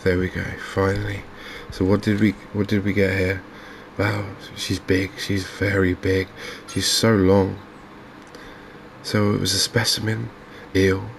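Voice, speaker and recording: Man, softly spoken ASMR game commentary, flat intonation, creaky low end, unhurried, faint background noise. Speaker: gaming video host.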